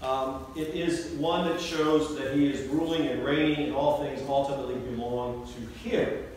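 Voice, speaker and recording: A man's voice: medium pitch, steady tone, normal speed, a little mellow. A middle-aged man, a pastor, reading and preaching to a congregation from a church pulpit. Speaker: Lutheran pastor. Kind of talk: gospel reading and sermon. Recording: echoey church from far off, faint noise.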